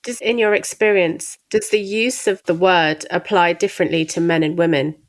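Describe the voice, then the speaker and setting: A woman's voice: lowish voice, steady tone, normal speed. A woman hosting a podcast interview, asking curious, thoughtful follow-up questions. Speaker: podcast host. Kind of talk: interview conversation.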